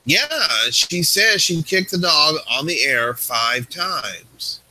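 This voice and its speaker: A man's voice: fairly high pitch, sing-song, slow, bright, forceful tone, rough recording. A man in his forties co-hosting an American talk show, emphatic, often repeating and restarting his words. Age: 40s. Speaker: co-host. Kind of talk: casual chat.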